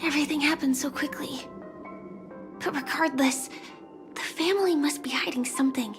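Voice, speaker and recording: An actress playing a guarded, perceptive young woman in a video game, speaking in a measured, serious and wary way. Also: high voice, over music, lightly acted. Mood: pensive.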